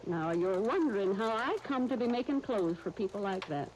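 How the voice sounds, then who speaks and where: A woman's voice: mid-range voice, expressive, a little fast, a little mellow, close to an everyday voice. A woman playing a blunt, proud but kind-hearted spinster dressmaker, no longer young, in a 1930s radio soap. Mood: brisk, proud. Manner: blunt, crusty, wry, opinionated. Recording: faintly noisy vintage 1930s radio recording.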